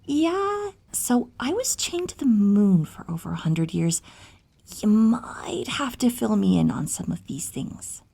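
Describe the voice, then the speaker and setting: A woman playing a centuries-old moon spirit healer in a fantasy audio roleplay, motherly and brisk, with an expressive, hushed delivery. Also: mid-range voice, gravelly, slightly rough, heavily acted. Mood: surprised.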